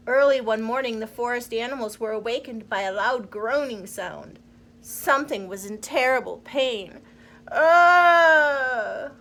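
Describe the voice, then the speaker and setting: A woman reading a children's storybook aloud in an expressive, deliberate storyteller's manner. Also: on the high side.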